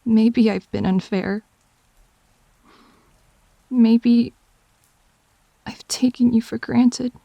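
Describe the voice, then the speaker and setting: A woman's voice: on the high side, fairly even intonation, drawn-out words, vocal fry, long pauses, mellow, dry recording, heavily acted. A woman playing a wounded, weary girlfriend in an audio drama, speaking hesitantly with a fragile voice. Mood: sad, regretful.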